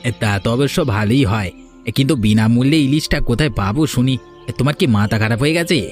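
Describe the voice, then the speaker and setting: A man's voice: mid-range voice, fast, soft background music, close to an everyday voice. A man voicing an envious, conniving village husband in a Bengali cartoon. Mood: doubtful.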